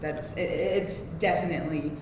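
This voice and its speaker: A woman's voice: steady tone, moderate pace. A woman actor making casual, unscripted remarks at a live table-read Q&A. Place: live event room. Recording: very echoey room, background rumble.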